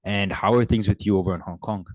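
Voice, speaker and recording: A man's voice: lowish voice, fairly even intonation, fast talker, dry recording. A man hosting a podcast, reading a scripted upbeat introduction and asking questions. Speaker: podcast host.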